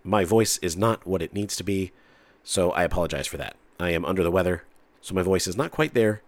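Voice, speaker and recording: A low-pitched man with a stuffy, nasal voice from a head cold hosts a chatty tech podcast. He talks freely and loosely, circling back on his own sentences. The recording is dry and close, with no room around him. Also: expressive, a little fast, dry recording. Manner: chatty, talks a lot with restarts. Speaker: podcast host. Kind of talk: casual podcast chat.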